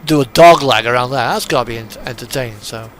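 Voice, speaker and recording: A man's voice: medium pitch, fast talker, fairly bright tone. A man giving casual commentary on a truck driving game, musing aloud as he thinks through each step, with engine sound behind him. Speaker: gamer narrating a truck driving simulator. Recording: clear engine and vehicle noise. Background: truck engine and reversing beeps from a game.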